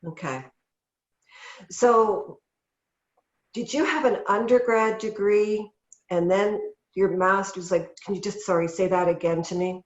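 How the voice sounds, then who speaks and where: A woman's voice: medium pitch, measured pace, pauses often. A woman hosting a podcast asks curious, conversational questions in a mid-range voice. The sound is a bit dull and carries a roomy echo. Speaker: podcast host. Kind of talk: casual interview questions.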